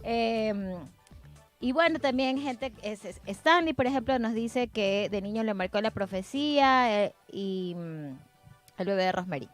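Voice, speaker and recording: A woman's voice: fairly high pitch, expressive, unhurried, soft background music. A woman hosting a casual, conversational chat show. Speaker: co-host.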